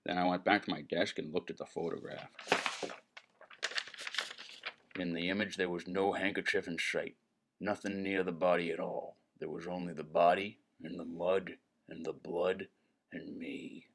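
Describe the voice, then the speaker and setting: A man's voice: low-pitched, level tone, normal speed. An actor playing a world-weary, wisecracking male private eye in hardboiled noir narration and dialogue, sardonic and brooding. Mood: brooding, dry.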